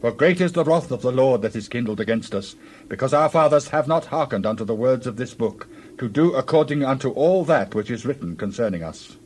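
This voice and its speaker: A man's voice: mid-range voice, expressive, a little fast, darkish tone, slight background noise, close to an everyday voice. An actor playing an earnest king of Judah, giving commands in a declamatory manner in dramatized scripture. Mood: grave, urgent.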